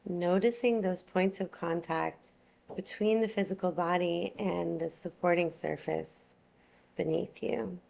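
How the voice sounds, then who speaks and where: A woman teaching yoga nidra, guiding a relaxation meditation in a soothing, unhurried, gentle way. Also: lowish voice, fairly even intonation, vocal fry.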